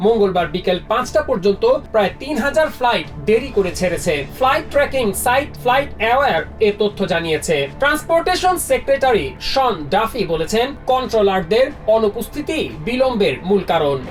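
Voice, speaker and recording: A woman's voice: on the high side, expressive, fairly quick, hardly any pauses, far from the mic, soft background music. A woman reporter delivering scripted news narration.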